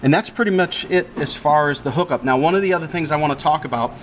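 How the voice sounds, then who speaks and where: Guy with a slightly higher voice walking thru gear setup, casual n plain spoken, bit of background noise. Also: quick pace. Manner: plain-spoken, explanatory, repeats instructions. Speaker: audio equipment installer giving a tutorial. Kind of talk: casual hands-on product walkthrough. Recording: clearly noisy room background.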